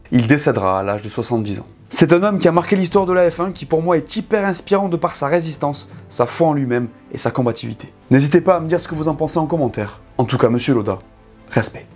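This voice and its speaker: A man's voice: fairly high pitch, a little mellow. Guy narrating like a youtuber, casual slangy storytelling, emphatic, music behind him. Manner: colloquial, direct address to listeners, emphatic. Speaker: YouTube host telling motorsport stories. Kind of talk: conversational scripted narration. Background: background music.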